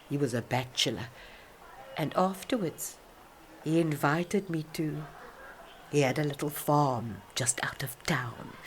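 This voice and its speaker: Proud old south african lady drinker, slow rambling radio monologue, voice swings up and down, bit of background noise. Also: low-pitched, heavily acted. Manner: rambling, halting, reminiscing, defensive. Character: older proud alcoholic South African woman, rambling, self-justifying. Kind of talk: dramatic monologue, radio play.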